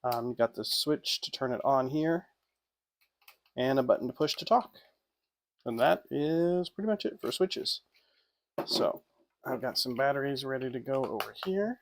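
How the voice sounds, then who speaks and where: A man's voice: mid-range voice, lively intonation, a little slow, long pauses. A man giving a casual hands-on unboxing review in an informal, off-the-cuff way. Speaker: product reviewer.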